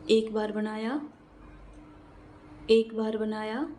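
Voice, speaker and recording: A woman's voice: a little high, level tone, slow pace, warm tone, a little hiss or hum. A woman narrating a step-by-step craft tutorial, explaining in a repetitive, instructional way and counting aloud.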